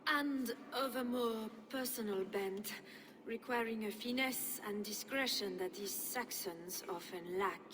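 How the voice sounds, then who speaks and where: A woman's voice: fairly high pitch, unhurried, a bit bright. A woman playing a sardonic, haughty noble wife in a historical game, speaking with dry, cutting poise. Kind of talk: cinematic game voice acting. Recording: long hall-like reverb.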